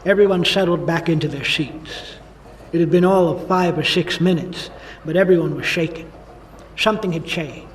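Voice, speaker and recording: A man's voice: fairly high pitch, moderate pace, recorded from a distance, slight background noise, close to an everyday voice, low-quality recording. An actor playing a brooding, boozy older man who narrates a noir radio drama with world-weary regret. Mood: ominous.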